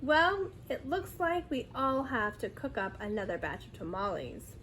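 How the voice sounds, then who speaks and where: A woman's voice: high voice, unhurried, a bit bright, a little hiss or hum. A woman voicing a cheerful, reassuring aunt in a storybook, easygoing and consoling.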